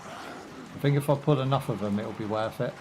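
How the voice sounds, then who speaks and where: A man's voice: medium pitch, moderate pace, background noise. A young British man chatting casually while he plays a game live, rambling through jokes, asides and fillers. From United Kingdom. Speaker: gaming streamer. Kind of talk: casual live gameplay commentary.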